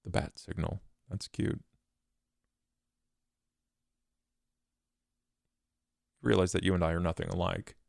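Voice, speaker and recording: A man voicing a cold, controlled killer in a tabletop role-play, questioning in a deliberate, formal and menacing way. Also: deep voice, fairly even intonation, creaky, a little mellow, no room sound, studio quality, heavily acted. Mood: cold, contemptuous. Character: cold, controlled male killer interrogating his copycat.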